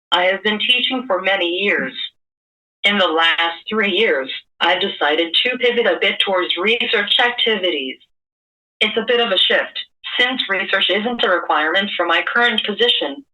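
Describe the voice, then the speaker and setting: A woman's voice coming from a speech device, with even, measured, prepared delivery. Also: medium pitch, far from the mic, rough recording. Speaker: guest speaking through a speech device. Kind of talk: synthesized speech from a communication device.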